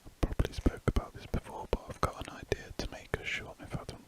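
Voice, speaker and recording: An ASMR drawing host chatting in a soft, unvoiced whisper close to the mic, rambling and correcting themselves while drawing. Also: quick pace.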